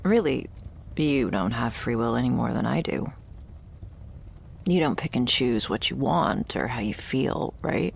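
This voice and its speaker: Wry, guarded young female thrall, played by a woman for vampire ASMR roleplay. Deep fry, unhurried delivery, sardonic and breathy at the edges. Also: lively intonation, full character voice. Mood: wry, musing. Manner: dry, sardonic, hesitant musing.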